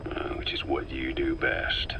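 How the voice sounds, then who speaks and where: An actor playing a gruff, loyal covert operative in a video game, terse and guarded, with a gravelly voice. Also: male voice, extremely low pitch, monotone, unhurried, pushed and bright, whispered, exaggerated voice. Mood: wary, reluctant. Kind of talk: scripted game dialogue, dramatic acting.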